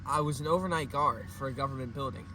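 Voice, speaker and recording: A man's voice: mid-range voice, quick pace, sharp tone, a little hiss or hum, natural voice. A young man playing a former child soldier in a mock news interview, giving earnest recited testimony.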